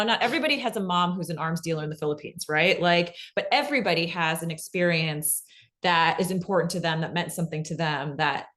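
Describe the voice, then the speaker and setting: A woman's voice: low voice, a bit bright. A woman giving a casual, conversational workshop talk on a video call, emphatic and often checking in with her listeners. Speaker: podcast pitching workshop presenter.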